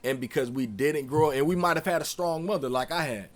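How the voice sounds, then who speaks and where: A man's voice: fairly high pitch, fast. Casual heartfelt male podcast host. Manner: casual, heartfelt, frequent affirmations.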